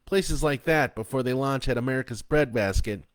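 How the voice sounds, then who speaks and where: A man reading a story aloud and voicing a steady middle-aged father talking firmly under pressure. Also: medium pitch, moderate pace, no room sound, pitched above the performer's own voice, lightly acted. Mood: tense.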